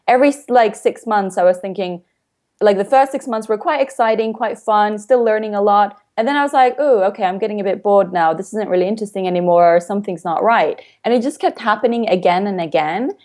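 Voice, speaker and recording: A woman's voice: mid-range voice, fairly quick, darkish tone. Chatty, informal woman from Finland. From Finland, with international upbringing. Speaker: coach, motivational speaker, interview guest. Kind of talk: casual explanatory interview talk.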